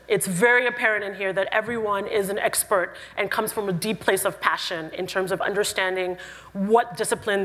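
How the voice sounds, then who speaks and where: Woman from Cameroon, playful conference speaker, mid-pitched, echoing hall. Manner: lively, playful, jokey. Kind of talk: conference talk. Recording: reverberant hall.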